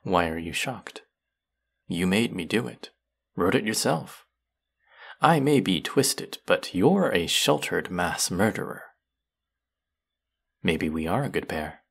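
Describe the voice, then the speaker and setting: A man's voice: sits low, lively intonation, slow, creaky, long pauses, a bit bright, slightly rough, exaggerated voice. A man voicing a smug, taunting young fantasy villain who menaces his captive in a theatrical roleplay audio monologue. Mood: menacing, smug.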